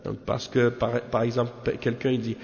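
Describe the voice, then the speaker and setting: Male religious teacher, creaky mid-pitched voice, didactic and repetitive delivery, heavy hall reverb. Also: flat delivery, fairly quick, a little mellow. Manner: didactic, repeats phrases for emphasis, rhetorical check-ins. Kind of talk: extemporaneous religious lesson explaining a commentary. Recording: muffled, long hall-like reverb.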